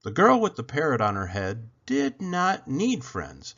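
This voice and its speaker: A man narrating a children's picture book as a storyteller, with an expressive, deliberate delivery. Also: medium pitch. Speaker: read-aloud storyteller. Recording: dull lo-fi recording.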